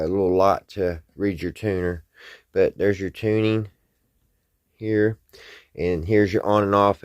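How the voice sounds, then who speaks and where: A man with a fairly low voice presents a casual, hands-on hobby demonstration. He talks in a folksy, unpolished way, often halting as he thinks out loud while he works.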